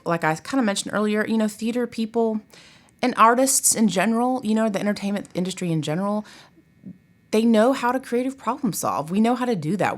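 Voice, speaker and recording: A woman talking casually as an interview guest, chatty and emphatic, with plenty of conversational asides. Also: lowish voice. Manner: chatty, emphatic, peppers talk with "you know" and "like". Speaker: theater professor and director, interview guest.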